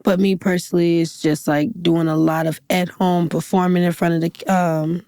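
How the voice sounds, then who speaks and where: Casting: twenties woman, raspy gravelly singer's speaking voice, laid-back interview guest, dry close mic. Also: on the low side, normal speed, dry recording. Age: 20s. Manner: relaxed, filler-heavy, laughs easily. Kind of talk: casual interview chat.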